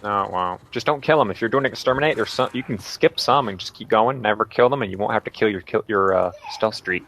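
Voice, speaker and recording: A man's voice: lowish voice, fast talker, fairly bright tone. A man from the United States chatting in a game voice call, talkative and given to repeated words as he restarts. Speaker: voice chat friend. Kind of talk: casual gaming chat. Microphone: voice chat.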